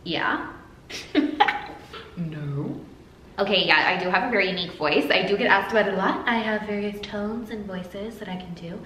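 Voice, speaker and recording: A woman's voice: medium pitch, normal speed, long pauses, pushed and bright, distant mic. A young woman from the United States answering fan questions in a playful, jokey self-interview vlog, with big swings of inflection. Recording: roomy echo, faint noise.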